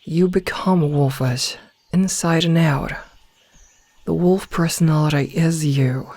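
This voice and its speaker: A woman playing a gentle, motherly werewolf in a soft ASMR roleplay, soothing and reassuring as she guides a newly turned packmate. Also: low-pitched, slow, dry recording, full character voice. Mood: calm, explaining.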